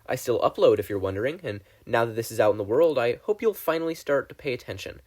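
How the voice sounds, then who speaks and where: A man's voice: mid-range voice, lively intonation, quick pace, darkish tone, natural voice. A man voicing a defiant young villain who preaches earnestly and challengingly in a video message, for a story reading.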